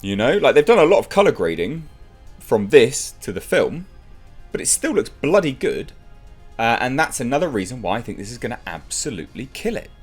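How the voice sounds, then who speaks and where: A man's voice: medium pitch, sing-song, brisk, slightly rough, soft background music. A man giving casual, enthusiastic movie news commentary as an online reviewer, leaning on emphatic repetition. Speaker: YouTube film news reviewer.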